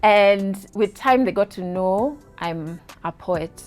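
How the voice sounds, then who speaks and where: A Kenyan woman in her thirties tells her story in a casual interview, her voice lively and quick to laugh. She drops Swahili words into her English, with faint music playing behind her. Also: medium pitch. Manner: animated, laughing, mixes in Swahili. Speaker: guest.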